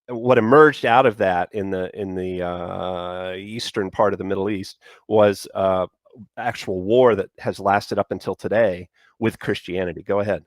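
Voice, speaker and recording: A man's voice: on the low side, dry recording, studio quality. A man hosting a talk show, speaking in rambling, stop-start phrases with many fillers. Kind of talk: casual talk show conversation.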